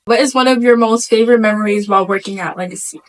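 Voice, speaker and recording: Young woman student host, reads questions plainly, fairly high voice. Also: fast talker, poor audio. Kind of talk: reading interview questions.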